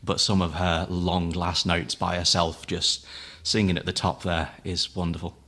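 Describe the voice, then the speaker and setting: A man's voice: low voice, level tone, normal speed, fairly bright tone. A man appearing as a guest from an amateur theatre society in a radio studio. He explains things with enthusiasm and dry humor. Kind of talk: casual interview chat.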